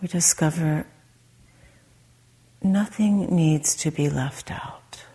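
Low, very slow, soft-spoken woman meditation guide. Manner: soft, breathy, gentle delivery with long pauses. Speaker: meditation teacher.

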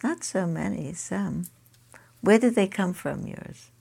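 Woman, low pitch, older, group leader, quick friendly questions. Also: lively intonation, vocal fry, warm tone, husky. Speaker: meditation teacher leading a group. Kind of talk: informal group discussion.

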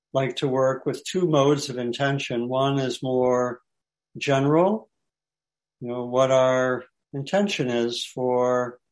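Male meditation teacher, mid-pitched and unhurried, speaking in short, spaced phrases of gentle instruction. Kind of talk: lightly guided meditation instruction.

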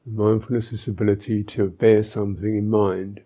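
Male meditation teacher: very mellow, husky, unhurried and gentle, leaving long pauses. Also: fairly low pitch, little pitch movement. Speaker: Buddhist monk, meditation teacher. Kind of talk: guided meditation instruction.

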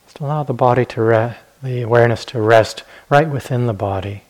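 A man teaching guided meditation in a gentle, unhurried manner, leaving long pauses between phrases. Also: medium pitch, low-quality recording.

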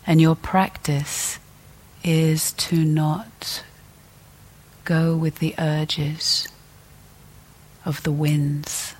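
A woman teacher leading a guided meditation in a gentle, deliberate way, leaving long pauses between phrases. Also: sits low, little pitch movement, husky.

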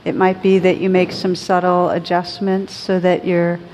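A woman teaching a guided meditation in a gentle, soothing, unhurried manner with long pauses between phrases. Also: on the low side, monotone, slight background noise.

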